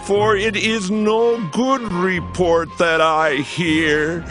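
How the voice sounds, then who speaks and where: An actor playing a very old priest and father, sorrowful and reproving, grave and halting in a dramatized scripture reading. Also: high voice, exaggerated voice. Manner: slow, grave, halting delivery.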